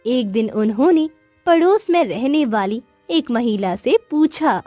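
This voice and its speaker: A woman narrates a children's story in a high voice. The scripted delivery is recorded very dry, with faint music behind it. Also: animated, measured pace, warm tone, no room sound.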